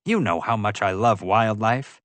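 A man voicing an excitable, carefree adventure lover in an audiobook, talkative and casual. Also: on the low side, a little slow, dry recording. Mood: amazed. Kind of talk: audiobook character voice.

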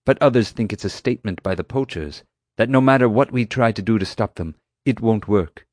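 A man puts on a courteous, earnest ranger who pleads his case with care. The voice sits higher than the narrator's own low tone, recorded close and dry. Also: fairly quick, darkish tone, no room sound, lightly acted. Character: earnest, polite male park ranger.

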